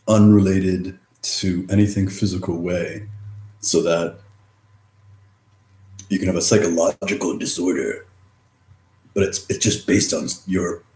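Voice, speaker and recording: A man giving a rambling, reflective vlog monologue, mumbling and halting, with drifting pauses between phrases. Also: low voice, mellow. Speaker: vlogger. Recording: roomy echo.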